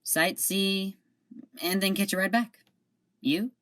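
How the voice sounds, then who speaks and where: A woman in an amateur audio drama playing a deadpan, seasick ship security guard. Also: medium pitch, slow. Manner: dry, deadpan.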